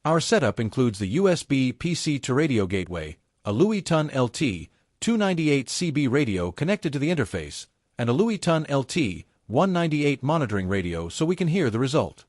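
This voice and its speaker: A man reading a scripted technical tutorial step by step in a measured, methodical way. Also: medium pitch, very animated, dry recording, clean studio sound. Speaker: tutorial presenter.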